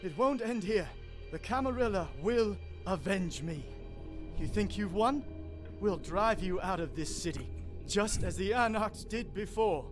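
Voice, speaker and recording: A man's voice: high-pitched, lively intonation, a little slow. An actor playing an arrogant male vampire prince who speaks in a haughty, imperious way. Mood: defiant. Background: game music.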